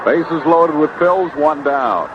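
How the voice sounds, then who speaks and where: A man's voice: on the high side, lively intonation, takes it slowly, crowd noise. A man calling baseball play-by-play on the radio in short, clipped phrases. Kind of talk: live radio baseball commentary. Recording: noisy, dull vintage radio broadcast. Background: loud outdoor stadium ambience.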